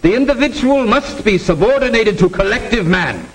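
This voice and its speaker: High-pitched, declamatory communist leader. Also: male voice, expressive, normal speed, a bit bright, breathy edge, exaggerated voice, poor audio. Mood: dogmatic. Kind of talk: 1950s propaganda film acting. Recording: old 1950s film soundtrack.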